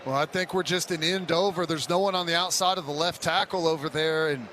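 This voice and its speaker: A man's voice: fairly high pitch, a little fast. A man with an American accent giving conversational, folksy football analysis between plays on a radio broadcast. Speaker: radio color commentator. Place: stadium broadcast booth. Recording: live radio sportscast with faint noise.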